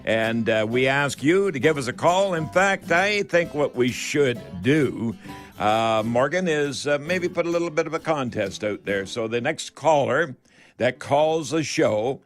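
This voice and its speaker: A man's voice: mid-range voice, lively intonation, measured pace, faint music behind. An older man with a Canadian accent hosts a home improvement radio call-in show in a chatty, folksy way, talking straight to his listeners.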